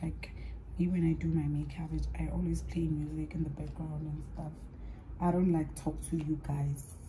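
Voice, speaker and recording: A young South African woman with a low, mellow voice rambles casually to her viewers while doing her makeup, mixing languages as she talks. A faint hum of room ambience sits behind her. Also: level tone, normal speed, poor audio. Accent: South African, mixing in Sepedi. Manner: chatty and rambling, switching languages mid-sentence, trailing off into soft asides. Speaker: vlogger.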